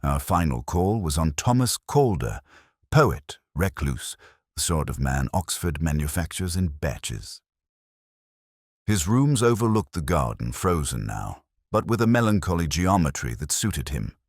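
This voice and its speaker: A man reading scripted literary detective fiction as narrator, in a measured, formal and brooding manner. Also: very deep voice, very animated, dry recording, studio quality.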